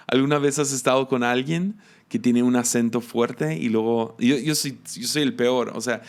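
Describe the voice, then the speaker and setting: A man with a Mexican accent giving a reflective devotional teaching, musing aloud with restarts and soft laughs. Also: mid-range voice, measured pace. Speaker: preacher.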